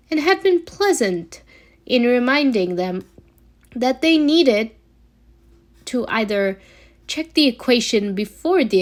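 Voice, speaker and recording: Woman, amateur story narration, unhurried slow pace, slightly high pitch, halting delivery. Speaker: amateur audiobook reader of fan fiction. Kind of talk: scripted narration, story read aloud.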